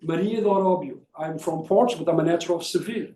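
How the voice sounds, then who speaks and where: High-pitched man presenting in an echoey room. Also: rapid-fire, mellow, a little hiss or hum. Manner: explanatory, often tags points with "right?". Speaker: academic presenter answering questions. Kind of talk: spontaneous academic question-and-answer. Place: indoor conference room. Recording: echoey room, distant mic.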